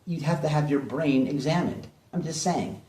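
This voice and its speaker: A man's voice: on the high side, moderate pace, warm tone. A man giving opinionated drama commentary in a blunt, mocking way, hammering points home with repetition. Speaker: online commentary host. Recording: echoey room, distant mic.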